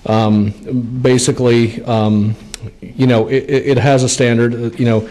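A middle-aged man speaks at a podium microphone in a committee room. He explains a bill at an even middle pitch and keeps stopping for fillers and restarts. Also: rough recording. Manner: halting, many fillers and restarts. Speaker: state legislator presenting a bill. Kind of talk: committee testimony.

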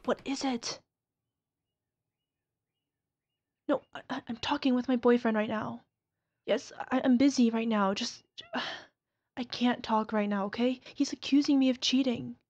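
A woman's voice: fairly high pitch, fairly even intonation, darkish tone, highs cut off. A woman acting as a toxic, gaslighting young girlfriend in a realistic ASMR roleplay, dismissive and exasperated. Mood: flustered, evasive. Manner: exasperated sighs, scornful, halting defensive delivery. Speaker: voice actor.

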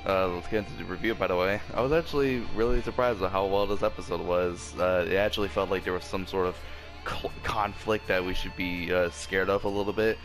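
A man with a very deep voice and a sore throat talks through an episode review. He rambles casually, stumbling over restarts and fillers, while soft background music plays underneath. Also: fairly even intonation, fairly quick, low-quality recording. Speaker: anime reviewer.

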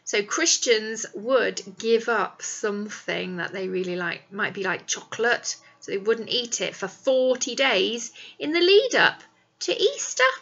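A middle-aged woman, a primary teacher reading a picture book to young children in an animated, explanatory way with questions to them. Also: mid-range voice, lo-fi sound. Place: small indoor classroom. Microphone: webcam or device at arm's length.

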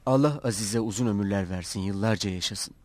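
An actor playing a young, virtuous servant in an animated film, earnest and restrained. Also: male voice, fairly low pitch, a little fast, no echo, natural voice. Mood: respectful, uneasy. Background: music.

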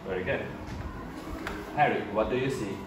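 A man in his thirties, an English teacher testing a child with short, clear prompts and praise in a classroom. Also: fairly low pitch, moderate pace. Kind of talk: oral exam prompting. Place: indoor classroom. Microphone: camera mic set apart from him. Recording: echoey classroom, distant, loud noise.

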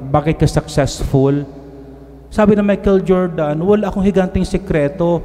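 Filipino man, priest, emphatic homily, stand mic, long church reverb, warm tone. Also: high-pitched, normal speed. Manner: earnest, emphatic, switches between languages. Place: large church. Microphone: stand mic at pulpit.

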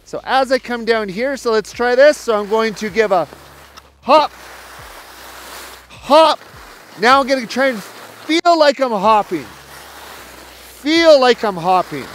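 Male ski coach, high-pitched, clear instructional delivery, explains drills in stages, recorded outdoors. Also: expressive, slow pace, long pauses, fairly bright tone, a little hiss or hum. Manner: explanatory, step-by-step. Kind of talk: instructional demonstration to camera. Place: outdoors on an open snowy mountain ski slope.

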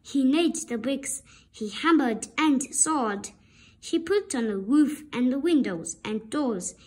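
A woman reading a children's story aloud with deliberate, expressive narration. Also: high voice. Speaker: storybook reader.

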